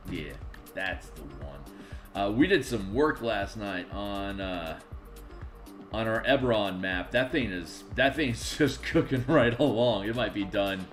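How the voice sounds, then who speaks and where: A raspy, tired man chatting casually on a live stream while making game art, rambling and muttering to himself as he works. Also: lowish voice, lively intonation, measured pace, soft background music. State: tired, just woke up.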